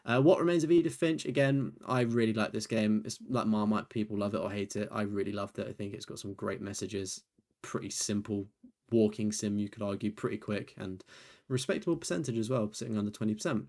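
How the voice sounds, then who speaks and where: A young man comments casually on video games, his voice tired and fading after a long stream. He talks in a loose, rambling way, full of fillers and self-deprecating asides, and his voice sits a little on the low side. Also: fairly quick, a little mellow. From United Kingdom. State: tired, voice nearly gone. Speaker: gaming YouTuber and streamer. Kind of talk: casual commentary reviewing a trophy list.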